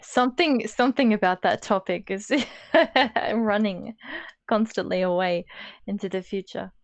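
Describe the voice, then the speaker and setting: A woman with a mid-pitched voice speaks as a co-host in a thoughtful discussion. She is measured and deliberate, laying out her point in short, broken phrases. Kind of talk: intellectual discussion.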